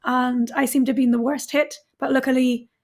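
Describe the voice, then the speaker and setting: A woman guest on a live stream, talking casually with a hoarse, worn voice, laughing often and using lots of ums. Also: sits high, flat delivery, a little fast. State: hoarse, recovering voice. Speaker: guest developer advocate.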